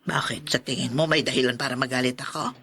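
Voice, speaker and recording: A woman's voice: rumbling low, steady tone, fast talker, a bit bright, slight background noise. A Filipino woman playing a resentful, sarcastic older aunt in a radio drama. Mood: cold, sarcastic. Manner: sarcastic, indignant. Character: resentful, jealous older aunt who feels unappreciated.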